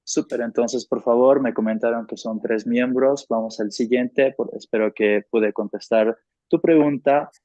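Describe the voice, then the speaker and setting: A man's voice: mid-range voice, steady tone, normal speed, dark tone, no room sound. A man working as a consecutive interpreter on an online group call. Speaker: interpreter between English and Spanish. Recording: dry, dull lo-fi call audio.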